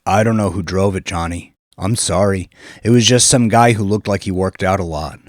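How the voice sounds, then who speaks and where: A man's voice: low-pitched, normal speed, no room sound, clean studio sound, close to an everyday voice. A man voicing a big, good-natured nightclub bouncer who talks in hesitant, deferential street slang for an audio story. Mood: apologetic.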